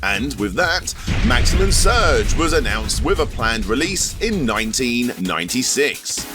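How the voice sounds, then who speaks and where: A man's voice: mid-range voice, sing-song, measured pace, music in the background. A man narrating a scripted video essay as host, dropping wry sarcastic asides.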